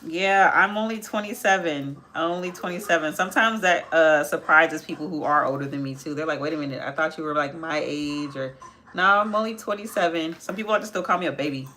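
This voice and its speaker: Brief: twenties woman, live makeup stream host, low bright voice, chatty, faint room hiss. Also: a little fast. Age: 20s. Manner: chatty, answers viewer comments while working, repeats phrases. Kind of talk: casual live makeup tutorial, chatting with viewers.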